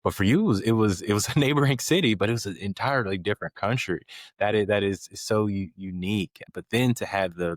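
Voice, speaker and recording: A man with a very deep voice hosts a podcast, speaking with enthusiasm and tripping over repeated words as he goes. His voice is recorded close and dry. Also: no room sound. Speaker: podcast host. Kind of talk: interview conversation.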